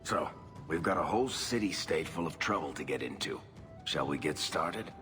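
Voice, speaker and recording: A man's voice: a bass voice, lively intonation, normal speed, over music. A man playing a wry, roguish dwarf companion in a video game, with a jaunty, teasing delivery. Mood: teasing, friendly.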